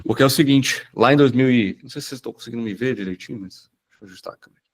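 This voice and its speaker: Fields: Sex: man. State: hoarse, nasal from a cold. Style: casual live webinar talk. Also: mid-range voice, rapid-fire. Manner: informal, rambling, many fillers and restarts. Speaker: automation business owner presenting in a webinar.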